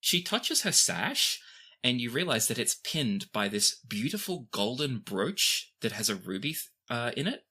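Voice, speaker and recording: Bright-voiced tabletop game master narrating. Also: mid-range voice, sing-song, a little slow, husky.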